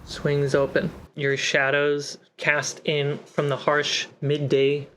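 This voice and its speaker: A game master slowly describing scenes of a tabletop game to the players. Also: mid-range voice, completely flat delivery, fairly bright tone, slight background noise. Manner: slow, deliberate scene description. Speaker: game master and channel host. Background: background music score.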